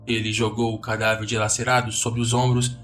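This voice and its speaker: A man's voice: on the low side, flat delivery, fairly quick, a bit bright. Brazilian man narrating horror over music. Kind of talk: dramatic scripted horror narration.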